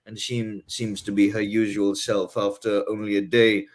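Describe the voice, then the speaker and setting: A man's voice: lowish voice, flat delivery, normal speed, a little hiss or hum. Haughty rambling Englishman. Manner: rambling, many ums and rhetorical "right?"; haughty airs. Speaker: guest author. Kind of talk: casual interview talk.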